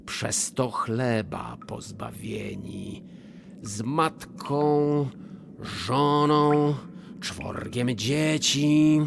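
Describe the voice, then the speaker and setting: An actor playing a scheming, miserly old notary in a verse radio play, wheedling and falsely sweet. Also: male voice, medium pitch, very animated, extremely slow, gravelly, background noise, heavily acted. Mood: calculating.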